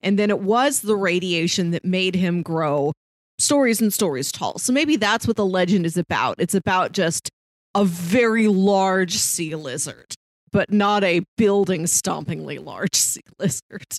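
A woman's voice: on the low side, unhurried, dry recording. A woman co-hosting a casual film discussion podcast in a conversational style. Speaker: podcast co-host.

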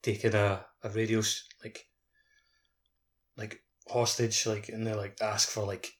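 A man chatting casually as a podcast co-host in a halting, informal way. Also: lowish voice, monotone. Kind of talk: casual chat.

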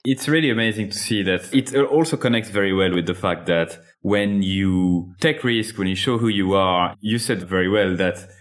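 Brief: male podcast host, French accent, low voice, thoughtful interviewer. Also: normal speed, hardly any pauses. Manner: thoughtful, guides the conversation.

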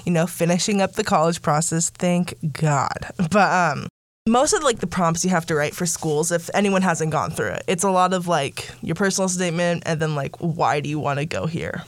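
A woman's voice: lowish voice, normal speed, no echo. A woman in her late teens co-hosting a student show with casual, giggly, slangy banter, her voice a little scratchy from allergies. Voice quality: slightly scratchy, softened from allergies.

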